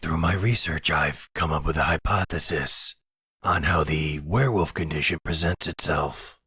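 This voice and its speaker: A man playing a very deep, husky werewolf elder. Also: bright, forceful tone, dry recording, clean studio sound, exaggerated voice. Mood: measured, explanatory. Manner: measured, formal, soothing guide. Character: calm, fatherly werewolf pack leader and researcher. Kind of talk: roleplay audio drama.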